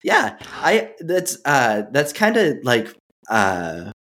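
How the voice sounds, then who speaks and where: A man's voice: medium pitch, wildly swooping pitch, takes it slowly, fairly bright tone. A man hosting a podcast, asking questions casually, with a hesitant manner and many uhs. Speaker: podcast host. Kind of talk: casual interview.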